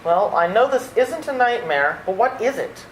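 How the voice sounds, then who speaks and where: A woman's voice: medium pitch, lively intonation, normal speed, lightly acted. A woman playing an exasperated, sardonic young man in an old-time radio play. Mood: sardonic, hostile. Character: exasperated, sardonic young male theatre critic. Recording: echoey room, faint noise.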